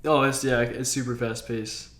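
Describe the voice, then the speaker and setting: A man's voice: mid-range voice, flat delivery, moderate pace, fairly bright tone. A man hosting a casual chat on a game stream. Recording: roomy, echoey home stream audio.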